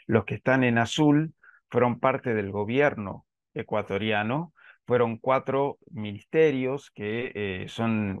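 A man's voice: medium pitch, dry recording. Argentine man lecturing over a headset. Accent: Argentine Spanish. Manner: measured, with frequent filler "este". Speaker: invited speaker, lawyer. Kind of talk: presentation at an online panel. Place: home office with bookshelves. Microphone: headset on a video call. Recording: slightly dull, dry video call audio.

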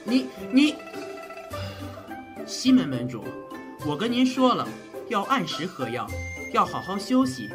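An actor playing an earnest, caring but easily flustered physician of ancient China in a period audio drama. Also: male voice, sits high, very animated, a little slow, pauses often, music in the background. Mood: exasperated.

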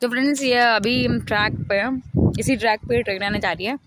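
A woman's voice: fairly high pitch, brisk, over loud music. A young woman vlogging outdoors, chatting straight to her viewers as she walks along a railway bridge, recorded on a handheld phone. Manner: chatty, talks straight to viewers while walking. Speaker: travel vlogger. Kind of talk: casual vlog narration. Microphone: handheld phone at arm's length. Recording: loud wind noise outdoors. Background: strong wind noise.

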